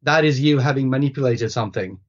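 A man's voice: medium pitch, fast talker. A man whose first language is Swedish, a guest on a podcast, thinking aloud in casual conversation with frequent fillers and restarts. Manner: thoughtful, hesitant with many restarts and fillers. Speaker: guest author. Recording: slightly dull lo-fi sound.

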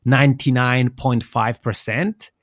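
A man's voice: medium pitch, steady tone, a little slow, dry recording, studio quality. A man from Switzerland whose native language is Italian, answering interview questions in a reflective, explanatory way and often rephrasing himself. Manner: reflective, self-correcting, explanatory. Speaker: interview guest.

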